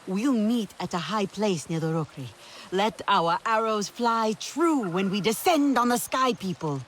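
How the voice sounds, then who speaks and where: A woman's voice: mid-range voice, expressive, measured pace, slight background noise, natural voice. Woman acting a resolute alien warrior leader. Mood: commanding. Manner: formal, declarative, determined. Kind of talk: dramatic video game acting.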